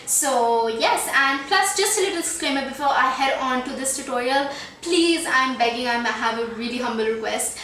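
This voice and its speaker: A woman's voice: high voice, expressive, moderate pace, pushed and bright. A young woman from India with an Indian English accent touched by Trinidad, chatting through a makeup tutorial in a small bedroom, pleading and full of fillers. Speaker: beauty vlogger. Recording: roomy, distant mic with background noise.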